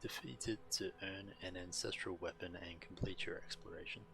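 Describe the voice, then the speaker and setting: A man streaming a video game, reading on-screen text and commenting casually in a measured, subdued way. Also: deep voice, fairly even intonation, creaky, noisy background.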